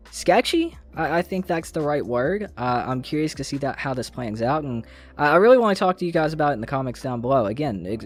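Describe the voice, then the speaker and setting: A man hosting a casual gaming news video, speaking in an informal, slangy way with some mild swearing. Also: medium pitch. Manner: informal, slangy, mildly profane. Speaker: gaming YouTube host.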